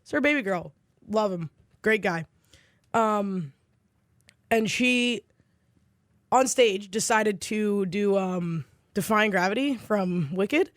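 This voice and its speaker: A woman's voice: mid-range voice, takes it slowly, long pauses, no room sound, studio quality. A young woman from New Jersey, a guest on a college radio show, talking in a playful, blunt way and laughing easily. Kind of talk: casual radio chat. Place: radio studio.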